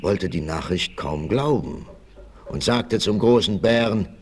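Male narrator for vintage adventure radio play: lively, widely moving pitch, suspenseful scripted delivery. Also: unhurried, a little hiss or hum. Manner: suspenseful storytelling. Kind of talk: dramatic scripted audio drama narration, 1960s.

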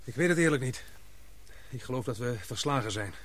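A man's voice: mid-range voice, expressive, a little fast, a bit bright. A man acting an earnest, protective younger man in an adventure radio play. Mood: downcast, hesitant. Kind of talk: Dutch radio drama acting.

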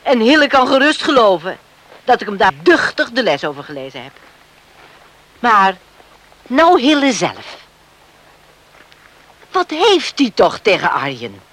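A protective, middle-aged mother in a radio drama. Her voice is high and very animated, with slow, deliberate pacing. She scolds in plain, emphatic words, full of indignation. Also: exaggerated voice, poor audio. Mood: firm, reproachful. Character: indignant, protective island mother confronting her son's would-be father-in-law. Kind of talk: Dutch radio drama acting.

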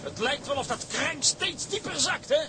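A man's voice: extremely high pitch, lively intonation, normal speed. A man playing an experienced, earthy deckhand with a sceptical way of talking in a seafaring drama. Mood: worried. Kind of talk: Dutch seafaring drama acting. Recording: loud outdoor ship noise.

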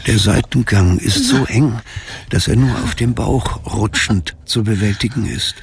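A man narrating a cave thriller radio play in a measured, ominous, deliberate way. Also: lowish voice, crowd noise. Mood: suspenseful.

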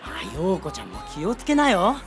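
A woman's voice: on the low side, huge pitch swings, moderate pace, loud music behind the voice. A woman playing a brash, teasing young model in a Japanese anime radio drama, blunt and breezy.

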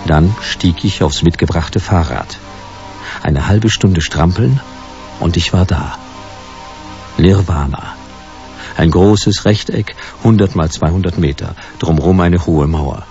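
A man's voice: low-pitched, takes it slowly, darkish tone, lo-fi sound, faint music behind. An actor playing a stubborn, hard-boiled private detective narrating his case laconically in a noir radio play. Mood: laconic, brooding. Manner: laconic, dry, clipped sentences.

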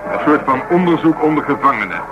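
An actor playing a burly, blunt, stubborn police detective in a radio play, talking gruffly and colloquially. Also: male voice, medium pitch, very animated, fast, highs cut off, natural voice. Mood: insistent, earnest. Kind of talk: naturalistic radio play dialogue.

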